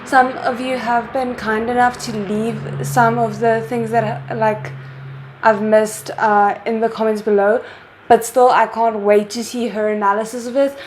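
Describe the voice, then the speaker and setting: A woman hosting her own reaction video, talking casually and excitedly, thinking out loud. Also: on the high side, unhurried, slight background noise, low-quality recording. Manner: excited, chatty, thinks aloud. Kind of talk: casual chat.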